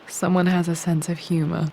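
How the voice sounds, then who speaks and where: A woman playing a young British adventurer, wistful and dryly witty, musing to herself in a soft, gravelly voice. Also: lowish voice, slight background noise, low-quality recording. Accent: British English. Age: young adult. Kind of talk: game character musing to herself.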